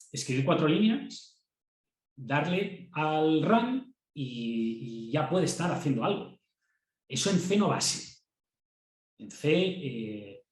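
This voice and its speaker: A man with a Castilian Spanish accent teaches a class in a relaxed, conversational way. He often repeats a word before going on and checks that students are following. His voice sits at a middle pitch and rings around a roomy, echoey classroom. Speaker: university lecturer. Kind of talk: classroom lecture.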